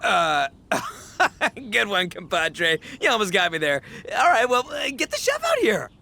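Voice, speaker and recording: An actor playing a boisterous, good-natured young gang boss in a video game, talking in excitable bro slang with stammering bluster. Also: male voice, high-pitched, unhurried, creaky, exaggerated voice. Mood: sheepish, hearty. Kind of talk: animated video game voice acting.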